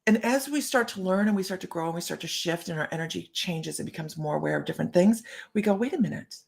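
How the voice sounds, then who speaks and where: A woman's voice: lowish voice, animated, fast, vocal fry, darkish tone. A middle-aged woman hosting a live stream as a psychic medium, chatting to viewers and greeting them by name.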